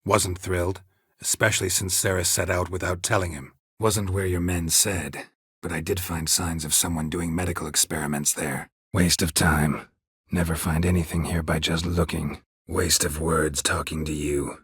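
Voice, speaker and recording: Gruff sardonic monster hunter for a game, deep n super raspy, terse deadpan lines. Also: male voice, normal speed, no room sound, studio quality, full character voice. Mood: curt, dry. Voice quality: low, gravelly rasp. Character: gruff, stoic male monster hunter, world-weary and sardonic. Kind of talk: video game character dialogue.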